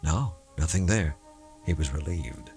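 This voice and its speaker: A man's voice: extremely low pitch, lively intonation, a little slow, over music. A man reading a suspense novel as an audiobook narrator, in dramatic scripted narration.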